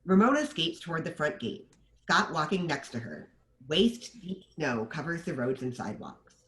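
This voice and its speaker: A man's voice: fairly high pitch, sing-song, normal speed, sharp tone. A man narrating the stage directions of a screenplay at a table read.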